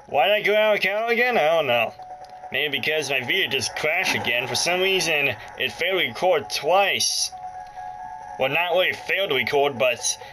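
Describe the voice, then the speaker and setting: A man records a casual gaming commentary with very lively, swinging intonation. He chats to his viewers and grumbles playfully as he plays. Game music from a handheld console plays behind him. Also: a little high, measured pace, poor audio. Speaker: gaming video creator. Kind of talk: casual let's play commentary. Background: loud game music and audio.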